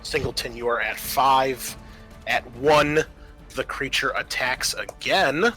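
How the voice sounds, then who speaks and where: A man's voice: mid-range voice, slow pace, sharp tone, soft background music. A man acting as game master, casually narrating a tabletop roleplaying game and explaining rules in informal, sweary talk.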